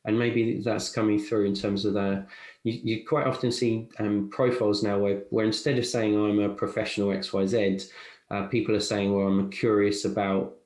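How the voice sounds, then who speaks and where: A man hosting a podcast, talking in a thoughtful, halting way that often repeats words. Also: fairly low pitch, level tone, darkish tone. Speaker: podcast host. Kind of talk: casual podcast chat. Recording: echoey room.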